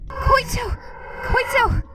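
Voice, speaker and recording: A small, frightened girl in a horror game. The voice is extremely high, thin and piercing. She speaks very slowly, fragile and pleading. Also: steady tone, bright, forceful tone, a little hiss or hum, exaggerated voice. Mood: desperate. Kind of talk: dramatic video game voice acting.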